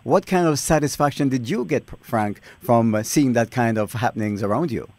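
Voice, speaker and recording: A man hosting a radio interview, asking measured questions full of small fillers. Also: medium pitch, darkish tone. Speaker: radio host.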